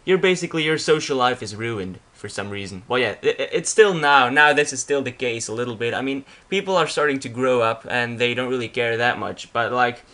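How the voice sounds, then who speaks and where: A man's voice: medium pitch, brisk, hardly any pauses, pushed and bright. A young man from Belgium with a Flemish accent, a Dutch speaker talking in English, rambles casually through a slideshow about himself, full of restarts and fillers. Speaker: YouTuber. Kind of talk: casual vlog narrating a slideshow.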